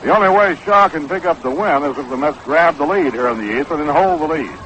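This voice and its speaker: A man's voice: a little high, lively intonation, brisk, a bit bright, a little hiss or hum, poor audio. An American man calling baseball play-by-play in the style of a 1960s live radio broadcast. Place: outdoor ballpark broadcast booth.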